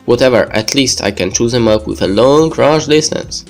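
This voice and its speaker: A man's voice: mid-range voice, normal speed, faint music behind, natural voice. A man voicing a hesitant, resigned young male pro gamer in a visual novel. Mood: grumbling.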